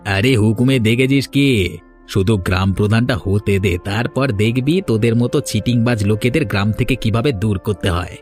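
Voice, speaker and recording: Man doing a low, gloating village bully. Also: normal speed, a little mellow, faint music behind, close to an everyday voice. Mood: threatening. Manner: mocking, threatening. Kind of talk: Bengali moral-story cartoon dubbing.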